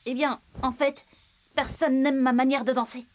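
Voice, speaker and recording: A woman's voice: high-pitched, flat delivery, normal speed, lightly acted. A woman voicing a shy, eager male parrot in a children's cartoon, timid in manner. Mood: shy, worried. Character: Carlos, shy male parrot who mimics everyone.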